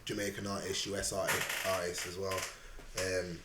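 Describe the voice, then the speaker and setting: A man's voice: sits low, level tone, moderate pace. A man with a Sheffield accent, a rapper chatting casually in an interview, laid-back and full of fillers. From Sheffield, England. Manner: laid-back, lots of fillers and repeated "obviously". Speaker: rapper guest. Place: recording studio. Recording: roomy studio with faint noise.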